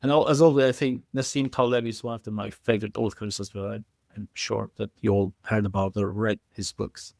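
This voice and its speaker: A man speaking as an expert podcast guest in a conversational way, haltingly, often repeating words and circling through filler phrases. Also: lowish voice, fairly even intonation, creaky, darkish tone, no room sound, studio quality. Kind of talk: conversational expert interview answers.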